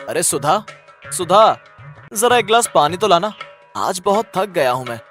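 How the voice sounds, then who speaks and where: A man's voice: high-pitched, sing-song, normal speed, a bit bright, soft background music. A man voicing a good-humoured, affectionate village farmer and hawker in a cartoon story, coaxing and reassuring. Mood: cheerful.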